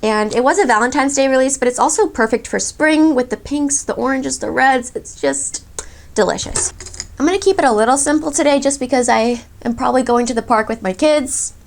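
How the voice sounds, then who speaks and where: A chatty woman in her thirties reviews makeup as she applies it, gushing over each product. Her voice sits a little high and breaks into a gravelly fry, and she sounds slightly congested, getting over a cold. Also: brisk. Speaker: beauty vlogger. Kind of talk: makeup tutorial.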